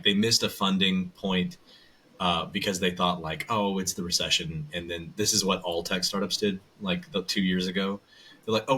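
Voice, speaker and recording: A man's voice: low-pitched, bright, forceful tone, a little hiss or hum. A man from the American South, a voice actor and audiobook narrator, telling his story as a guest in a casual chat with lots of restarts. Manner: rambling, loose storytelling with many restarts.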